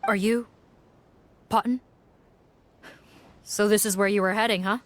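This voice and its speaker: An actress playing a cool, authoritative investigator in a video game, terse and matter-of-fact. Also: female voice, medium pitch, steady tone, a little slow, a bit bright, slight background noise, natural voice. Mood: composed.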